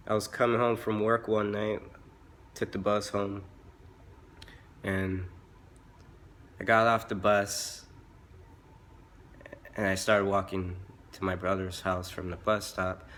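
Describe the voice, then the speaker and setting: Young man, hoarse and rough-voiced, slow hesitant delivery, lowish voice, faint room hiss. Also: pushed and bright. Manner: halting, hesitant, many restarts and fillers. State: hoarse from illness. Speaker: vlogger. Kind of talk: casual personal vlog.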